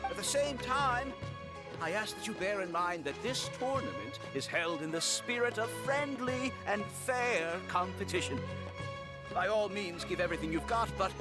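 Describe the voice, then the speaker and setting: An actor playing an earnest, courteous gentleman patron in a video game, speaking formally and sincerely. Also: sits high, lively intonation, moderate pace, rough recording. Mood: welcoming, rousing. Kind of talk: video game character acting, formal speech. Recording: music playing behind.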